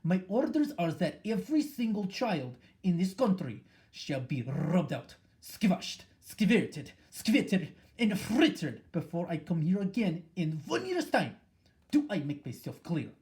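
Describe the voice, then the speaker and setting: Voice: medium pitch, big pitch swings, darkish tone, pitched above the performer's own voice. A reader voicing a furious, tyrannical witch leader who screams and rants at her followers in an audiobook. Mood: commanding. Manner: shrieking, ranting, drawn-out words. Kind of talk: audiobook reading.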